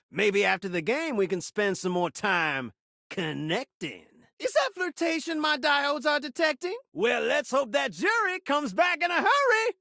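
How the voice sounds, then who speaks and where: A man's voice: very high-pitched, gravelly, sharp tone, dry recording, studio quality, full character voice. A man voicing a needy, flamboyant talking television set in a video game, speaking in rhyme with theatrical announcer patter. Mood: flirty, showy. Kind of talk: rhyming video game character lines.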